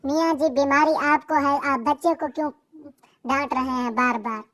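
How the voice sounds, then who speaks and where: A woman's voice: sits high, normal speed, fairly bright tone. A woman playing a suspicious, insistent young wife and protective mother in a cartoon. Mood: scolding. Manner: questioning, insistent. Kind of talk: cartoon dialogue.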